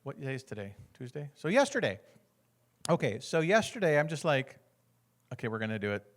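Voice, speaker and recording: Lively storytelling American man. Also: mid-range voice, fairly quick. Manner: acts out other people's lines, often laughing. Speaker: guest speaker sharing a testimony. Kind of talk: animated storytelling testimony.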